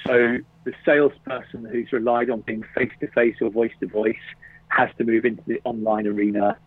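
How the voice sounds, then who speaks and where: A man with an English accent, a guest consultant, answering interview questions in a measured, methodical way. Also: medium pitch, little pitch movement, no echo. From England. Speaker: guest, print sales consultant. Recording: dry, tinny phone line.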